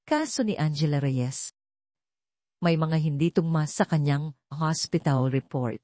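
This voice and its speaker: A female detective, read by a woman narrator in an audio drama. She is polite but probing, formal and matter-of-fact, with a mellow voice and dry sound. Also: deep voice, animated, unhurried, no echo. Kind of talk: audio drama storybook reading.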